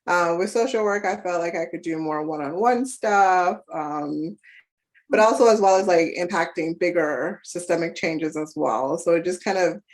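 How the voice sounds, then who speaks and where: This is a talkative woman from Ghana with a fairly low voice, a therapist speaking as an interview guest. She rambles in a relaxed way, often tags her thoughts with "right?" and pauses on fillers as she thinks. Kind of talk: casual conversational interview.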